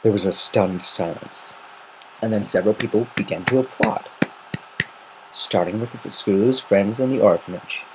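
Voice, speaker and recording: A man's voice: on the low side, normal speed, background noise. A man narrating a story in a semi-dramatic amateur reading. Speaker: amateur fan fiction reader.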